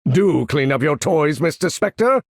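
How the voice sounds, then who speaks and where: A male actor playing a proud, imperious older supervillain commander in a video game, with a gravelly, husky voice and grandiose, menacing, formal delivery. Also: fairly high pitch, animated, a little slow, dry recording, studio quality. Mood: menacing contempt. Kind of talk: theatrical video game character voice lines.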